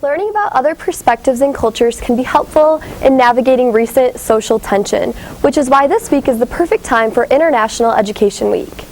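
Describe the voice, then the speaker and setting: A woman with a fairly high voice anchors a student newscast. She reads from a script, and there is faint noise behind her. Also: darkish tone, rough recording. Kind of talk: scripted news reading.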